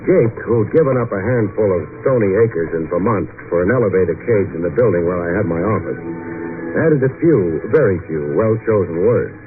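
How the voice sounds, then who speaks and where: An actor playing a wry, deadpan private detective, narrating and talking in an old-time radio noir style. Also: male voice, low voice, moderate pace, faint music behind. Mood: wry narration. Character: wry, hard-boiled male private detective narrating his case. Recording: tinny old radio recording.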